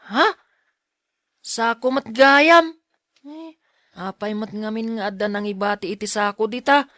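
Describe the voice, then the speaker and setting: A woman's voice: fairly high pitch, measured pace, a bit bright, no room sound, studio quality. A woman playing a timid, polite schoolboy in an audio drama, speaking hesitantly and bashfully. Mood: wary, musing.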